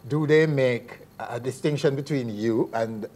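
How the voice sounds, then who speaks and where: A man's voice: medium pitch, normal speed, darkish tone. A man in his forties hosting a studio interview, asking friendly, upbeat questions. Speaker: TV show host. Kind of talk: TV entertainment interview. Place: TV studio set.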